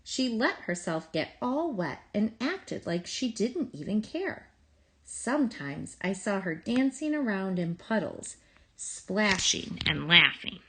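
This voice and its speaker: A woman reading a children's story aloud in an expressive, deliberate way with pauses between phrases. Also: medium pitch, breathy edge, rough recording. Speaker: storybook reader.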